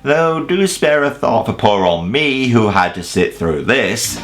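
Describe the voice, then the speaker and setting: A man's voice: medium pitch, lively intonation, measured pace, over music. A man giving a casual, opinionated scripted video review, emphatic and sweary with self-deprecating humour. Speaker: video reviewer presenting a top ten list.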